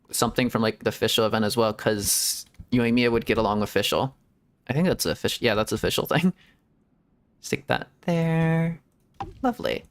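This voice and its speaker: A man streaming a game, rambling to himself in casual commentary and giggling often. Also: mid-range voice. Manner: rambling self-talk with frequent giggles. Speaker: VTuber streamer.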